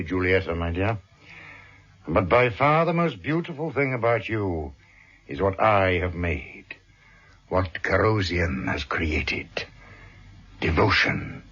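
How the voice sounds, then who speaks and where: An actor playing a suave, cold criminal mastermind in a vintage radio drama, speaking in a measured, pompous, formal way. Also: male voice, deep voice, a bit bright, slightly rough, heavily acted. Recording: dull, muffled vintage radio recording.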